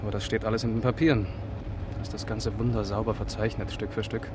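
Senior detective, male actor, low voice, dry irony, clipped lines over clear vehicle noise. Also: level tone, fast, close to an everyday voice. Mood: skeptical, sardonic. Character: dry, shrewd male police investigator, senior officer. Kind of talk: 1970s German radio crime drama acting. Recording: clear car noise behind.

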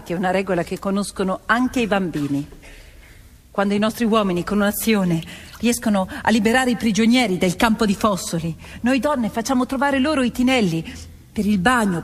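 A woman playing a 1940s Italian partisan farm woman in a theatrical narrative monologue, telling the story deliberately, phrase by phrase. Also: medium pitch, lightly acted. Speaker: stage actor and storyteller. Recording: long hall reverb, music underneath.

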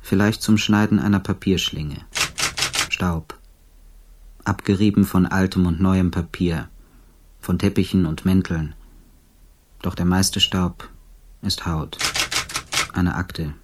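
A sombre male investigator in a radio play. His voice is very deep and unhurried, delivering clipped, deadpan fragments. Noise and typewriter-like clicks sit clearly behind him. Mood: detached, sombre. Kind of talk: German radio drama monologue.

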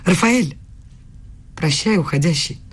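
A young woman student in a radio play, earnest and a little bossy. Her voice is husky and breathy, her delivery brisk and insistent. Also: lowish voice, animated, full character voice. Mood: anxious, tender. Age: young adult.

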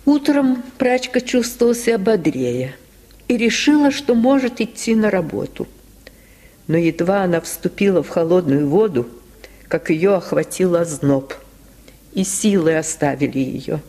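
Slow, expressive woman storyteller. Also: on the low side. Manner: slow, expressive, theatrical delivery. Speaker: storyteller, actress reading a tale. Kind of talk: dramatic literary narration, 1950s radio storytelling. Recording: 1950s radio archive recording.

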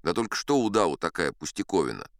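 A man's voice: low voice, sing-song, fast, no room sound, clean studio sound. A man voicing a boastful old sea captain who tells tall tales in the first person, chatty and confiding with the listener. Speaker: audiobook narrator. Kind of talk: first-person storytelling in an audio play.

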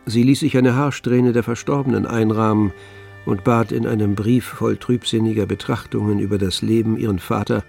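A man giving measured, articulate literary narration for a radio play. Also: lowish voice, fairly even intonation, gravelly, a little mellow. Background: music.

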